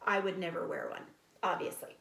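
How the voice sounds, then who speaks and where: A woman's voice: lowish voice, brisk, vocal fry. A woman reads a storybook aloud, breaking off for casual explanations to young listeners. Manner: explains to young listeners, animated sound imitations. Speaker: read-aloud teacher. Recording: echoey room.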